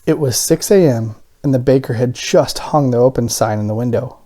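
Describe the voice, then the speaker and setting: A man narrating a children's picture book aloud in an expressive storytelling style. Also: medium pitch, moderate pace.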